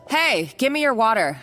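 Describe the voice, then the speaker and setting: A woman's voice: high voice, bright, forceful tone, full character voice. An actress playing a scornful, scheming woman villain in a video game, talking down to her accomplice. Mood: bossy. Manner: mocking, condescending.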